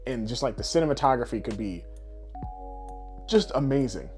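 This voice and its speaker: A man's voice: mid-range voice, expressive, moderate pace, a little mellow. Man chatting casually, music behind. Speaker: podcast co-host. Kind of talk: casual chat about games and films.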